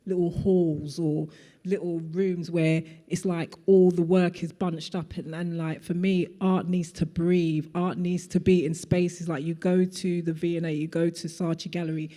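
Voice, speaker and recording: Woman, guest artist, earnest panel answer, warm low tone, hall reverb. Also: little pitch movement, a little slow. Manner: earnest, with many "like" fillers. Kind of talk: answering a question at a panel talk. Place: event hall.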